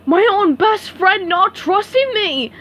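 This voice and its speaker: A woman voicing a big, clumsy, goofy male starfish who talks loudly and emphatically as she reads a storybook. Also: high voice, lively intonation, normal speed. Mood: indignant. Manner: loud and emphatic.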